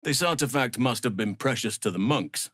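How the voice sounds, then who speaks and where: An actor playing a big, calm, earnest male elf druid in a fantasy video game, speaking in a measured, gentle, solemn way. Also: medium pitch, no echo, studio quality.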